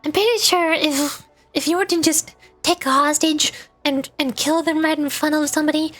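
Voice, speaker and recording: A woman with a notably high voice chats to her livestream viewers. She talks in a loose, rambling, playful way, as a gaming host does. Faint music plays behind her. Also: fairly even intonation, normal speed, fairly bright tone. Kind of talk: casual chat to stream viewers while gaming. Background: background music.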